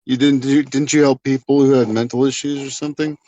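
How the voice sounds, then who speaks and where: A man's voice: mid-range voice, little pitch movement, fairly quick, warm tone, no echo, dull audio. Man, teasing chat banter, stumbling questions. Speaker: voice-chat participant.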